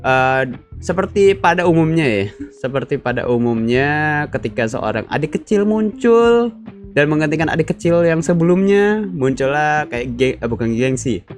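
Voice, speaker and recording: A young man hosting a casual fan-commentary video, chatty and slangy, full of fillers and tag questions. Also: on the high side. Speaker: YouTube channel host.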